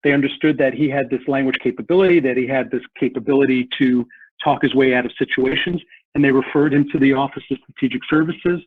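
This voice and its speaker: A man's voice: mid-range voice, steady tone, fast, slightly rough, no echo. A man explaining history earnestly as a podcast guest, often repeating words as he thinks. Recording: tinny phone-like line.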